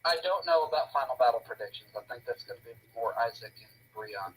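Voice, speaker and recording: A young man co-hosting a casual wrestling talk show, excitable, swearing freely and acting out moves. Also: medium pitch, fast, background noise. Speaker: co-host. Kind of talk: casual wrestling podcast chat.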